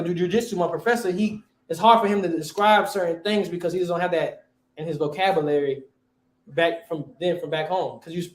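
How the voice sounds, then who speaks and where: A man's voice: sits high, echoey room, rough recording. A man teaching a class, quizzing his students and repeating key words.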